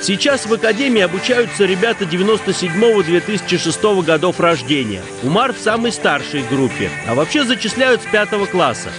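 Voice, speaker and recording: A man with a fairly high voice presents a travel report. He talks conversationally and persuasively, with music clearly playing behind him. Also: lively intonation, a little fast, few pauses. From Russia. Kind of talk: travel documentary narration and casual interviewing.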